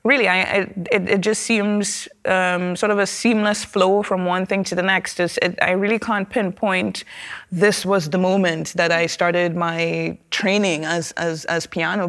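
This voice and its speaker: A woman with a Trinidadian accent talks in a relaxed interview, her voice sitting a little low. She speaks thoughtfully and often pauses on fillers and small restarts. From Trinidad and Tobago. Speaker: opera singer, guest. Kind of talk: conversational interview.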